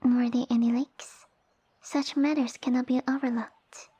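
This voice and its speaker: A woman playing a sassy, tiny snake-girl noble with pompous, formal, commanding speech and a soft breathy voice, in an ASMR roleplay. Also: sits high, little pitch movement, measured pace. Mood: bossy, exacting. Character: haughty, sassy young lamia noblewoman, tiny monster girl. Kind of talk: ASMR roleplay audio drama.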